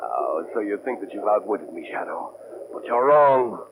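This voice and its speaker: An actor playing a ruthless, arrogant pirate submarine commander in a theatrical 1940s radio drama style, gloating and barking orders. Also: male voice, fairly low pitch, big pitch swings, normal speed. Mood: menacing, sneering. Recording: noisy old radio recording.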